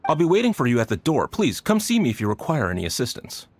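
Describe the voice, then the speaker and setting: A man's voice: mid-range voice, huge pitch swings, fast, no room sound. An actor playing a polite policeman in a video game, deferential and eager to help. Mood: apologetic, helpful.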